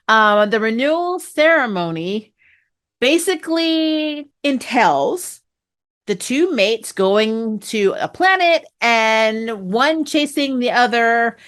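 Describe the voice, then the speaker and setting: A woman hosting a casual spoiler review of a TV episode, chatty and amused, laughing often and filling pauses with "um" and "you know". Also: on the high side, a bit bright. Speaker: TV review host.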